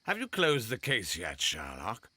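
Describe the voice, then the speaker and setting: An actor playing a haughty, imperious middle-aged government gentleman with a British accent, a raspy voice and a condescending manner, in a video game. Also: fairly low pitch, wildly swooping pitch, measured pace, slight background noise, full character voice. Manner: pompous, condescending.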